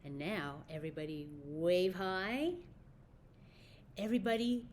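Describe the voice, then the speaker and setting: A woman's voice: fairly low pitch, lively intonation, a little slow, a little hiss or hum. A woman presenting a children's story time, telling stories and rhymes and speaking directly to young listeners with simple prompts.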